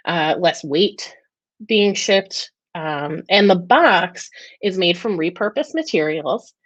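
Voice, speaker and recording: A woman's voice: on the low side, no room sound. An American woman hosting a casual weekly livestream chat, enthusiastic and full of fillers and restarts. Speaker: host of a weekly live talk and Q&A.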